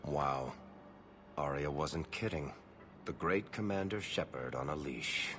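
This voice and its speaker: An actor playing a smug, mocking mercenary gang leader in a video game, with a gravelly voice and sneering manner. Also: male voice, a bass voice, expressive, takes it slowly, a bit bright, a little hiss or hum, heavily acted. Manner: sneering, smooth-talking, mocking.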